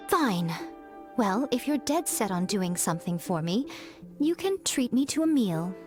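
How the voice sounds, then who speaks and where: A woman's voice: medium pitch, big pitch swings, a little slow. A woman voicing a sly, elegant fox shrine maiden in a video game, coy, teasing and self-assured. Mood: coy, wistful. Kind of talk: video game character acting. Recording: faint music behind the voice.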